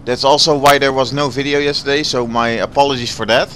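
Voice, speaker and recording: A Dutch man with a Dutch accent, a native Dutch speaker, giving relaxed, chatty commentary over gameplay and sometimes searching for words. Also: medium pitch, fast talker, a little hiss or hum. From Netherlands. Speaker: gaming channel host.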